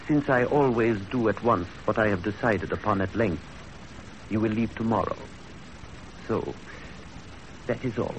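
A man's voice: fairly low pitch, natural voice. Man acting a stern older employer, 1930s radio. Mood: stern, measured. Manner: measured, authoritative. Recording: faintly noisy 1930s radio recording.

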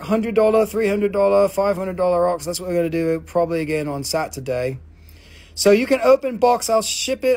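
A man hosting a live rock sale, joking, swearing and rambling in fast auction patter. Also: high voice, darkish tone. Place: mineral shop indoors.

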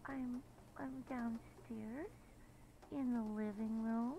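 A woman's voice: fairly high pitch, fairly even intonation, takes it slowly, mellow. A woman voicing a timid, worried little girl on the phone in a horror game. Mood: upset. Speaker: child caller character. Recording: faintly noisy phone call.

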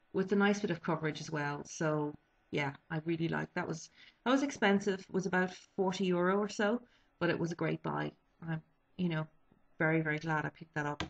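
A woman's voice: sits low, creaky. A woman, chatting casually about makeup she has been using, a little sniffly from a cold, with many ums and restarts. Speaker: beauty vlogger. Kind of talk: casual product review. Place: small bedroom indoors. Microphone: webcam built-in mic. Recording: dull lo-fi webcam audio.

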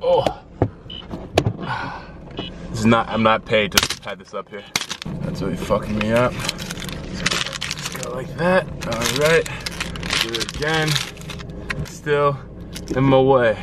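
A man's voice: medium pitch, slow, long pauses. A man in his twenties vlogging from his car on a dashboard camera, joking and swearing in casual banter. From Chicago area. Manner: joking, profane, mock-advertising banter. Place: parked car, indoors. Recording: clear road and engine noise. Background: car and street noise.

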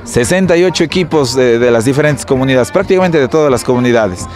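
An Ecuadorian man answers interview questions off the cuff. He halts and repeats words in an ordinary-pitched voice, with faint music underneath. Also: expressive, quick pace, darkish tone. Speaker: tournament official being interviewed.

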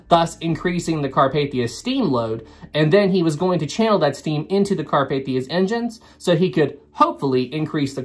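A young man in his twenties, his voice a little rough from recovering from a cold, telling a history story to camera from a small home room. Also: fairly high pitch. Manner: earnest, repeats names often. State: slightly rough voice from recovering illness. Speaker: history channel host. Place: small home room with wood paneling and ship models.

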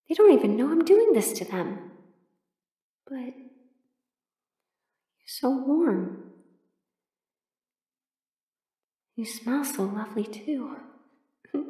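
A woman acting a sleepy, affectionate partner in an intimate audio roleplay, muttering softly with whispers and sighs. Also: on the high side, roomy sound, recorded from a distance. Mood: tender, hesitant. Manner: soft, drowsy, muttering to herself with whispers and sighs. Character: sleepy affectionate partner awake in cold bed, playfully grumbling.

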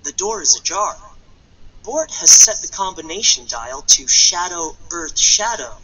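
An earnest young male apprentice mage from an adventure game, with a high, very bright voice. He describes the world in a lively, dryly observant way. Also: unhurried, slightly rough, highs cut off, exaggerated voice. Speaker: video game character voice. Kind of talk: scripted point-and-click game narration.

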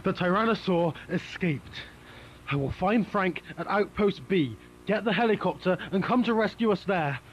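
A man's voice: high-pitched, animated, moderate pace. A man playing a frightened, urgent survivor stranded in a found-footage dinosaur horror film. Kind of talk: analog horror acting. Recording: muffled lo-fi found-footage audio, faint noise.

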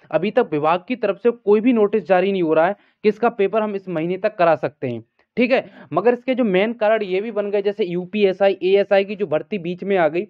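A man giving an informal update to students as a channel host, often repeating stock phrases. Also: sits high. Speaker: host of an exam news channel. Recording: thin, phone-like band-limited sound.

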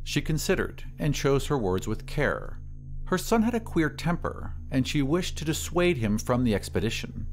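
A man narrating a scripted audio drama as a storyteller, in measured, literary phrasing. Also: mid-range voice, very animated, soft background music.

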